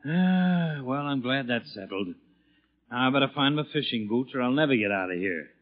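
A man's voice: mid-range voice, expressive, normal speed, lightly acted. An actor playing a blustering, sarcastic middle-aged father in a theatrical, put-upon 1940s radio comedy style. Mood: weary grumbling. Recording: muffled old 1940s radio broadcast.

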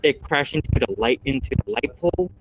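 A teenage boy co-hosting a casual chat, telling stories in an animated, excitable way. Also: fairly high pitch, fairly quick, vocal fry, a little hiss or hum, low-quality recording. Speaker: co-host.

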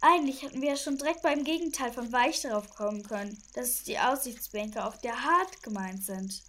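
A woman playing a wary, teasing young detective sidekick in a children's audio drama, with a wry, expressive manner. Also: a little high, fairly quick, natural voice. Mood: pleased.

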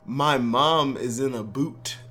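A man's voice: mid-range voice, slow pace, pushed and bright. A man co-hosting a podcast, telling a rambling, self-deprecating dating story in casual chat and laughing as he goes. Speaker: podcast co-host.

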